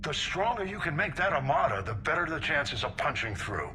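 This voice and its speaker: A man's voice: fairly high pitch, big pitch swings, brisk, background noise. An actor playing a resolute senior male military admiral in a video game, direct and commanding in his delivery. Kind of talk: video game character dialogue.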